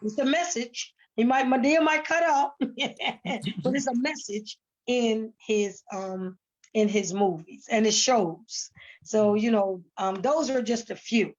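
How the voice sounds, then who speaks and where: A woman's voice: on the high side, slow pace. A woman talking casually and emphatically as an interviewed stage actress, often repeating words and phrases. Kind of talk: casual panel conversation. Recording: dull, muffled lo-fi sound.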